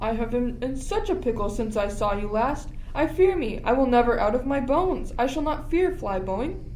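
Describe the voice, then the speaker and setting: A woman plays a rueful, tipsy jester in a Shakespeare radio play. The voice is fairly high, heard off-mic in an echoey space with faint noise. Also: expressive, moderate pace, a little mellow, lightly acted. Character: pickled, rueful jester Trinculo, drunk. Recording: echoey, distant, noisy room recording.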